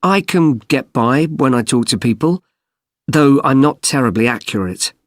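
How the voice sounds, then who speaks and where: Actor as undergraduate: young man, medium pitch, polite and earnest, very dry studio-style exam dialogue. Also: expressive, moderate pace, dry recording, natural voice. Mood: polite, keen. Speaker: student. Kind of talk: scripted listening-test dialogue.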